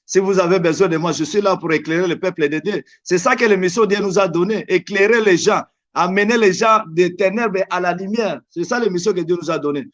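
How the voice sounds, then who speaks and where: A high-voiced man with a Congolese French accent, Lingala his first language, speaking as a guest evangelist. He preaches emphatically, leaning hard on scripture lines and slowing down for stress. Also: dull audio. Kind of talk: preaching on a TV talk show.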